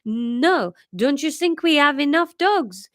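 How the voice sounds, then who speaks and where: A woman's voice: sits high, expressive, fairly bright tone, no room sound, studio quality, voice pushed up, exaggerated voice. A woman voicing a worried, careful father with a French accent. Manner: slow and careful.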